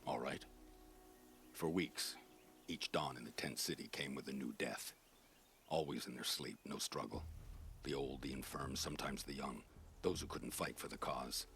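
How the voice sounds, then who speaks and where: An actor playing a bitter, world-weary soldier captain in a video game, speaking gravely and with measured weight. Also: male voice, a bit bright, a little hiss or hum, full character voice. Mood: grim, regretful. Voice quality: deep, gravelly. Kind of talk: dramatic video game acting.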